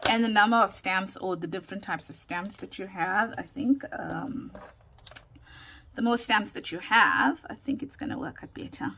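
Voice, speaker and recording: A woman recovering from a lost voice and a sore throat, chatting casually through a crafting tutorial with many pauses and ums, sometimes dropping to a whisper. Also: medium pitch, vocal fry, sharp tone, slight background noise. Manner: slow, chatty, with frequent ums and laughs, drifting into whispers. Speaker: craft vlogger.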